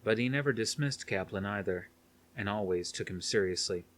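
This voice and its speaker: A man narrates a thriller novel as an audiobook reader. His voice sits on the low side, and the delivery is scripted storytelling. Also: moderate pace, fairly bright tone.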